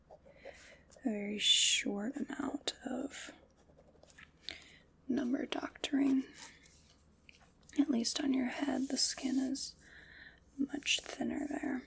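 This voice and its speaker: A calm, precise female armorer played by a woman leans close and measures you in soft, mostly breathy whispers for an ASMR roleplay. Her slightly high voice has a gravelly edge, and she takes her time, slipping in wry little jokes. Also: heavily acted. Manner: gentle, precise and wryly joking.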